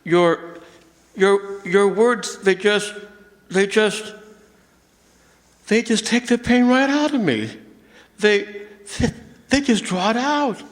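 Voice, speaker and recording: Guy playing a heartbroken driver in a radio play, slow and stammering, voice swings around a lot. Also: high-pitched, animated. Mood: anguished, wondering. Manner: halting, stammering, repeating words. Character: grief-stricken, embittered man, a night driver, softening. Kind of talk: stage radio drama acting. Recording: live stage performance, long hall reverb.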